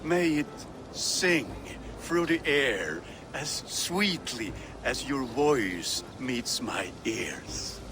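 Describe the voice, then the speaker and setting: A devoted Viking smith speaks with slow, halting tenderness in game dialogue. His delivery rises and falls widely and is full of sincere feeling. Clear ambient noise runs behind him. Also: medium pitch, lively intonation. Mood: tender, moved. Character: warm-hearted Viking blacksmith groom, devoted and sentimental. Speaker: video game voice actor. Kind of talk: dramatic game dialogue acting.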